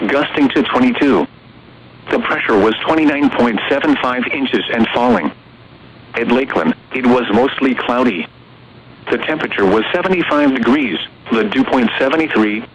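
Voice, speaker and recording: A computer-synthesized male voice reading weather observations and a marine forecast in an even, steady text-to-speech delivery. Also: medium pitch. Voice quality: flat, evenly paced text-to-speech delivery. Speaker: automated weather broadcast voice. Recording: muffled radio receiver recording with faint hiss.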